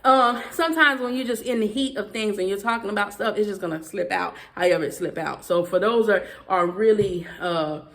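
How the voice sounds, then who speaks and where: A Southern woman speaking to her viewers in a heated casual commentary, talking fast and emphatically with frequent "okay"s and restarts. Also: medium pitch, fairly bright tone. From Southern United States. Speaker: YouTuber.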